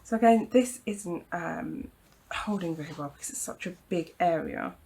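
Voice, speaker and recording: A woman demonstrating a craft project at her home craft table, croaky from hay fever, talking in a chatty, reassuring way with frequent ums and apologies. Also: lowish voice, normal speed, warm tone, rough recording. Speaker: craft tutorial host. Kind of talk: casual hands-on craft demonstration.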